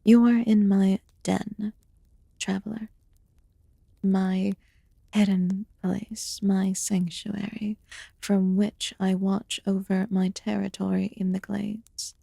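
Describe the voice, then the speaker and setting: A woman playing an ancient, aloof fae in a fantasy ASMR roleplay, murmuring softly and formally with some whispered phrases. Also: mid-range voice, level tone, vocal fry, no echo, full character voice. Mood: aloof, commanding. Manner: slow, deliberate, archaic formal wording. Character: ancient aloof female fae recluse, haughty yet quietly caring.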